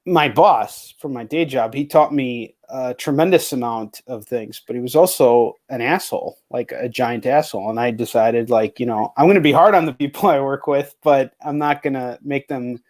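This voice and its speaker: A man's voice: medium pitch, moderate pace, few pauses, darkish tone. A man chatting casually as an interview host, speaking bluntly and swearing often. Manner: blunt, frequently profane. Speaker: host, comic book writer and publisher.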